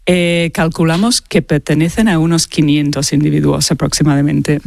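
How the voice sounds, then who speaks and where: A man in his late thirties with an Australian accent and a fairly high voice, recorded dry and close. A native English speaker, he gives expert interview answers haltingly, repeating words as he searches for the next one. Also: flat delivery, creaky, dry recording. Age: late 30s. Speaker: guest expert, archaeologist.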